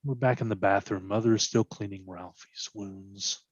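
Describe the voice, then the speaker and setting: A man's voice: fairly low pitch, fairly even intonation, moderate pace, breathy edge, no room sound. Man narrating stage directions, dry dull sound. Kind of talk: dramatic scripted narration.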